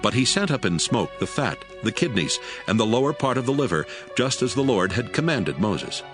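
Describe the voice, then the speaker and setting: A man reading scripted scripture narration for an audiobook in a measured, solemn way. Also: mid-range voice, big pitch swings. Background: music.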